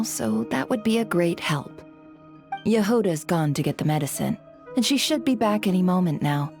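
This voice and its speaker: A shrewd female broker speaks in a lowish, composed voice. She is coy and insinuating, a polished video game character with faint music behind her. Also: darkish tone. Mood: smooth, calculating. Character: shrewd, sly female intelligence broker, coolly polite.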